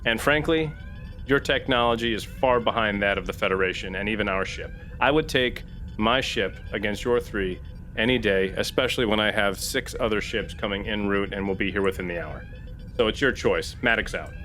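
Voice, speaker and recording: A man's voice: fairly low pitch, bright, forceful tone, faint music behind. A man playing a stern, threatening starship captain. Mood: firm threat. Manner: formal, deliberate, commanding. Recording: faint background noise.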